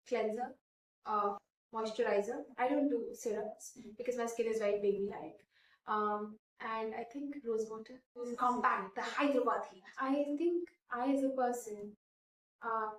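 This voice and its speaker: Young woman from India, Hindi first language, earnest and halting, fairly high voice, roomy echo, a bit far from the mic. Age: 20s. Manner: earnest, hesitant with frequent fillers and restarts. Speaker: interviewee. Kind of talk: casual interview answers.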